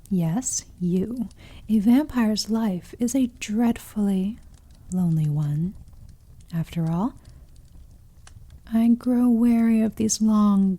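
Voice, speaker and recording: A seductive, possessive vampire mistress acted by a woman in an intimate ASMR roleplay. Her voice is very dark and velvety, breathy and often whispered. She takes her time over every phrase, teasing and condescending with quiet menace. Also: medium pitch. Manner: slow, teasing, condescending endearments, menacing purr. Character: sadistic, possessive, seductive female vampire lord.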